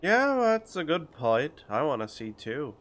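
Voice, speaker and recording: A man's voice: on the high side, very animated, measured pace, a bit bright, soft background music. A man giving casual let's-play commentary as a game streamer. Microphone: close studio condenser microphone.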